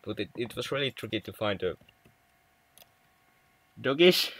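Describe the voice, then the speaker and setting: A man's voice: medium pitch, very animated, slow, a bit bright, husky, no room sound. A young man from Finland with a Finnish accent, chatting casually with viewers on a game livestream, often stumbling and restarting words. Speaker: livestream gamer.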